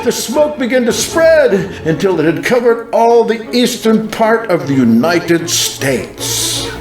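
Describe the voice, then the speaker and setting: A man's slightly high voice rings with echo over music as he narrates an apocalyptic vision. He is solemn and theatrical, drawing out his phrases with very slow pacing. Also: big pitch swings. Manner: solemn, theatrical, drawn-out delivery. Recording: roomy echo under loud music.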